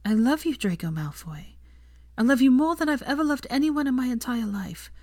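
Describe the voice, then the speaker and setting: A woman voicing a caring, determined young woman in love, gentle, earnest and reassuring, in a romantic story reading. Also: high voice, animated, normal speed, no echo, clean studio sound, pitched above the performer's own voice. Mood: earnest, loving.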